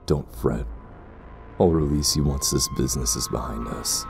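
A man playing a sinister, condescending zealot in an audio roleplay drama, speaking in a formal, measured way. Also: very deep voice, creaky, music in the background. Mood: cold, composed. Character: sinister cursed brother, pompous, coldly condescending zealot.

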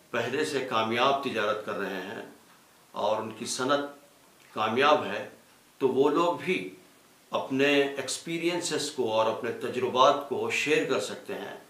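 A man makes a formal appeal, speaking deliberately phrase by phrase. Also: medium pitch, fairly bright tone. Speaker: representative of a religious organisation. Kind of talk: formal spoken announcement and appeal. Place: small indoor studio with green screen. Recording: echoey room.

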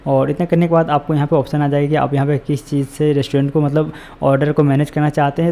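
Guy talking quick over a screen recording, flat and mellow, step by step over and over. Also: a little high. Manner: repetitive step-by-step instructions. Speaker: tutorial host. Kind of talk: screen-recorded software walkthrough.

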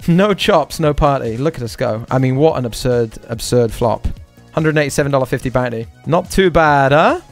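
A man's voice: medium pitch, lively intonation, moderate pace, few pauses, faint music behind. A man hosting a poker stream, talking through each hand aloud and repeating short catchphrases. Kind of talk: live poker stream commentary.

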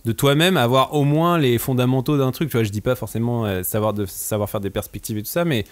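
A man's voice: mid-range voice, fast. A man co-hosting a podcast, talking in long, rambling stretches full of fillers. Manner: rambling, chatty, lots of "tu vois". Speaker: podcast co-host. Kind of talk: casual podcast discussion.

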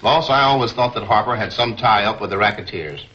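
An actor playing an eager, streetwise newspaper reporter in a 1940s crime serial. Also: male voice, lowish voice, brisk, a little hiss or hum, lightly acted. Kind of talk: 1940s film serial acting. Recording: dull 1940s film soundtrack.

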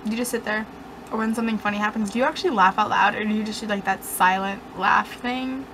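A woman's voice: mid-range voice, fast, background noise. A young woman vlogging from her bedroom, rambling in a sarcastic, self-mocking way. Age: young adult. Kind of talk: casual chat to camera. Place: dim bedroom, indoors.

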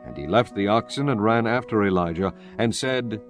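A man narrating a dramatized Bible from a script, solemn and measured in his storytelling. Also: on the low side, animated. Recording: music bed under the voice. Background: background music.

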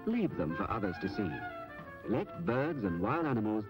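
A man reading scripted public information narration in an instructive, measured manner on an old film soundtrack. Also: on the low side, big pitch swings. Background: music.

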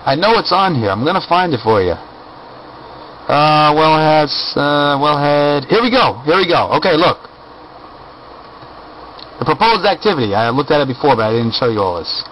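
A man's voice: a little high, big pitch swings, normal speed, long pauses, fairly bright tone, slight background noise, rough recording. A man giving casual off-camera commentary while filming documents on his desk, often checking in with "all right?". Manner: conversational, keeps asking "all right?". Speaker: video blogger.

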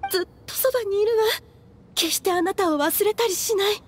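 A woman's voice: extremely high pitch, a little fast, slight background noise. A woman playing a grieving, obsessive mother in a Japanese anime game, speaking with fervent emotion. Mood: tearful, pleading. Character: grieving, obsessive mother desperate to revive her daughter.

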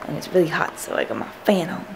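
A young woman in her twenties chatting casually to camera in a vlog, her voice a little raspy, rambling with fillers and restarts. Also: on the low side, lively intonation, fast talker, background noise. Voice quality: raspy morning voice. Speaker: fitness vlogger. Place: balcony, then kitchen and backyard. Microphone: handheld camera close up.

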